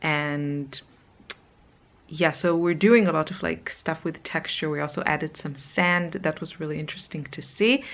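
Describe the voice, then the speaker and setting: A woman's voice: low voice, measured pace, a bit bright. A woman in her thirties with a slight Israeli accent, chatting casually in a vlog from her hotel room, rambling with lots of fillers and sometimes dropping to a whisper. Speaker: art vlogger.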